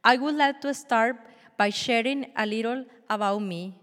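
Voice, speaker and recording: A woman's voice: fairly high pitch, fairly bright tone. Earnest Colombian woman in echoing hall. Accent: Colombian Spanish. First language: Spanish. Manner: earnest, measured, with small restarts. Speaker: church speaker sharing testimony. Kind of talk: prepared personal testimony. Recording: long hall reverb.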